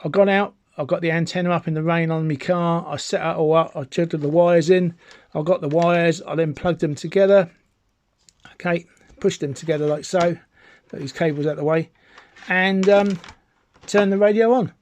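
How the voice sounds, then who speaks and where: A man's voice: on the high side, steady tone, normal speed, a little mellow. A British man giving an informal, chatty gadget demonstration as an enthusiastic, rambling hobbyist presenter. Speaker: amateur radio enthusiast presenting a video. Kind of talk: informal product demonstration to camera.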